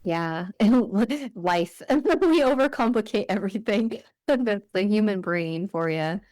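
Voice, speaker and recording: A woman's voice: medium pitch, animated, slow, a little mellow, no room sound. A woman podcast host chatting with enthusiasm and laughing easily as she interviews her guest. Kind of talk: casual interview chat.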